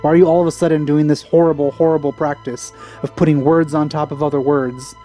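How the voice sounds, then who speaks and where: Male let's player, mellow, casual, dry grumbling asides, game music in background. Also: a little high, level tone, fast talker, lo-fi sound. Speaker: let's play streamer. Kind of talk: casual gameplay commentary.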